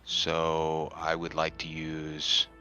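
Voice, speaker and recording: A man playing a tabletop roleplaying game, talking casually and deliberately as he thinks aloud. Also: rumbling low, completely flat delivery, sharp tone, soft background music.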